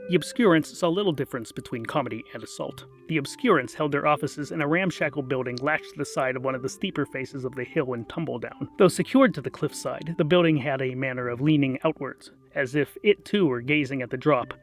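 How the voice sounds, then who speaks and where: A man narrating a scripted comic fantasy story in a dry, deliberate, theatrical way. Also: mid-range voice. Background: music.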